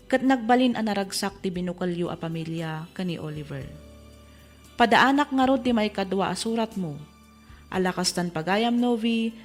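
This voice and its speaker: Soft background music sits behind a woman of medium pitch. She hosts a radio drama with an Ilocano accent, speaking in a scripted, advisory style. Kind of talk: scripted letter reading over music.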